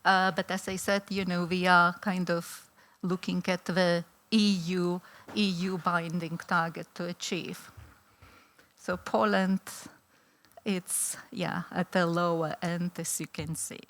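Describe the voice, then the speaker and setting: A woman's voice: fairly low pitch, little pitch movement, slow pace, fairly bright tone. A middle-aged woman with a Lithuanian accent giving a conference talk, hesitant with many fillers and restarts. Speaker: academic conference speaker. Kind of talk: conference presentation.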